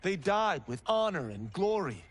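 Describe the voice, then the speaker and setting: Game role: earnest, cocky young farmhand, unhurried but lively in intonation. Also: male voice, high-pitched, breathy edge, heavily acted. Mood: wistful longing. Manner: earnest, animated. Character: restless young farmer dreaming of glory, playfully cocky. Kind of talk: naturalistic video game acting.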